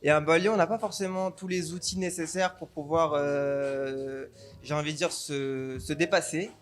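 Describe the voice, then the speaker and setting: A man's voice: a little high, steady tone, normal speed, faint music behind. A man from the Paris suburbs, a dance teacher, answering interview questions enthusiastically. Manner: enthusiastic, repeated phrases.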